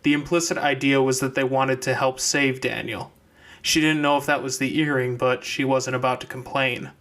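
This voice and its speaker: A man's voice: mid-range voice, level tone, normal speed, fairly bright tone. A man narrating scripted fiction as an audiobook reader.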